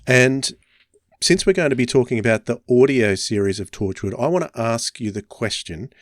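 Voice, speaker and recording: A man hosting a podcast in a conversational way, picking his words with pauses. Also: medium pitch, animated, dry recording, studio quality. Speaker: podcast host.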